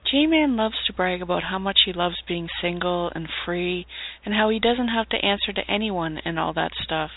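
A woman's voice: lowish voice, moderate pace, no echo. A woman delivering a teasing scripted insert for a radio show. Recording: tinny, dry, band-limited audio.

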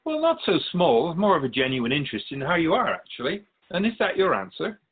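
A man playing a wise, patient otherworldly spirit in a radio drama, explaining things in a measured, gently teasing way. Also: on the high side, huge pitch swings, husky, narrow, tinny audio, exaggerated voice. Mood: genial, earnest. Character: Keeper of Souls' Purpose, wise otherworldly spirit, patient and curious.

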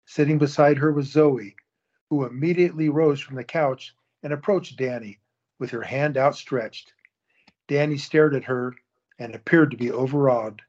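Male narrator, steady storytelling read, muffled lo-fi sound. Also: mid-range voice, normal speed. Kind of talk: scripted audio-drama narration. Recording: dull lo-fi recording.

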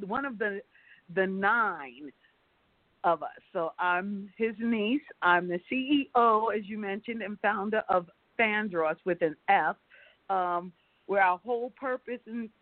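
Female interview guest, casual storyteller, mid-pitched voice, dry phone-line sound. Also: dry recording. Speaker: interview guest, company founder.

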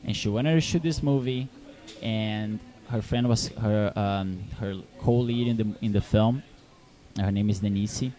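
Brazilian man, hesitant low voice. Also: a little slow, dark tone. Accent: Brazilian Portuguese. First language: Portuguese. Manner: hesitant, many fillers and restarts. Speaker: film director. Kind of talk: casual interview answers.

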